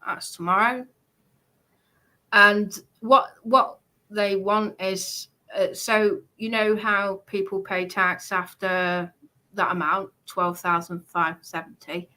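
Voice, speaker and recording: A British woman presenting informally, reading a list aloud in a halting, explanatory way and adding casual asides. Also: mid-range voice, fairly even intonation, sharp tone, poor audio. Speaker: presenter going through party policies.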